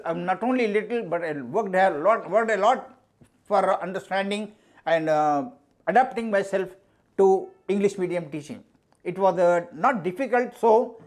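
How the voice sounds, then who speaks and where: High-voiced older man, native Urdu speaker. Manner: measured, emphatic, repeats key phrases. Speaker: mathematics teacher. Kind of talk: interview answers.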